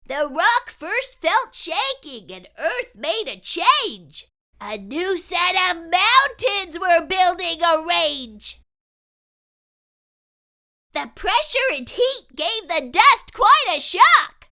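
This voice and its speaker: A woman voicing an excitable young boy who spins a tall tale in dramatic, sing-song rhyme for a storybook read-aloud. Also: extremely high pitch, extremely slow, voice pushed up, heavily acted. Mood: excited, insistent.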